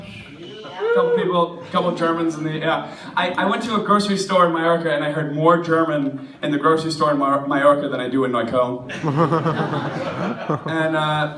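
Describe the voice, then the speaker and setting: A man in his twenties with an American accent, hoarse from a cold, doing a rambling, self-mocking stand-up set in a bar. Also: a little high. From United States. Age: 20s. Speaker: stand-up comedian. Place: comedy club stage in a bar. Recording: echoey room recorded from a distance.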